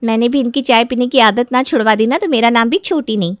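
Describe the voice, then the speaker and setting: A woman's voice: on the high side, fast, no room sound, studio quality, lightly acted. A woman playing a defiant, crafty young daughter-in-law who argues and complains in a dramatized moral story. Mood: scheming. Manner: argumentative, protesting.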